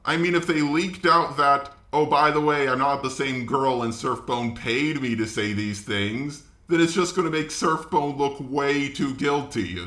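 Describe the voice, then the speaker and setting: A man's voice: mid-range voice, fairly even intonation, a little slow, few pauses, sharp tone. A man giving casual opinion commentary in a blunt, informal way. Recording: echoey room.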